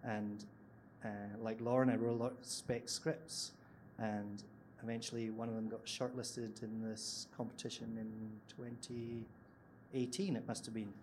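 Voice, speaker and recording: A man's voice: lowish voice, little pitch movement, measured pace, darkish tone, a little hiss or hum, poor audio. A Scottish man, a screenwriter on a live panel, telling his path into writing in a rambling, self-deprecating way. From Scotland. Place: event stage with audience.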